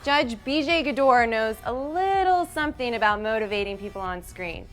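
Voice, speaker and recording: A woman's voice: a little high, expressive, normal speed, fairly bright tone, soft background music. A woman hosting a TV fitness competition, reading scripted lines in an upbeat, announcing way that builds suspense. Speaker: competition show host.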